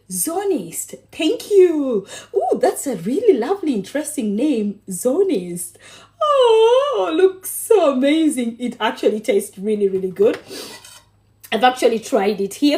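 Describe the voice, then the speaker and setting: Woman, from Kenya, high voice, bubbly, affectionate, teasing, frequent laughter, casual livestream chat. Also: dark tone. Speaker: livestream cooking host.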